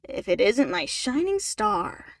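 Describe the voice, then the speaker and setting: A smug talent manager a man voiced by a woman reader in an audio story. He is careless and calculating, showy and flattering, in a mid-pitched, close, dry voice. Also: slow pace, no echo, heavily acted. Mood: smooth, probing.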